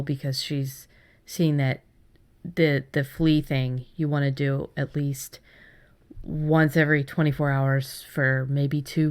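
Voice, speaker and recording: A woman hosting a casual discussion, explaining things in a conversational way. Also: sits low. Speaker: host.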